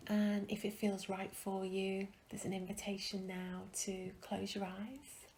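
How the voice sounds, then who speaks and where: A woman guiding a meditation in a soft, breathy, soothing way, leaving long pauses between phrases. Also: mid-range voice, fairly even intonation, a little hiss or hum. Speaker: meditation guide. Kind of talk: guided meditation.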